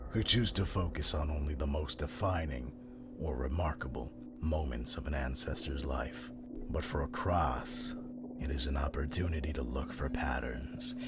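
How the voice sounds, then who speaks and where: Man voicing a very deep, gravelly cold schemer. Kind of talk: scripted audio drama narration. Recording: loud music under the voice.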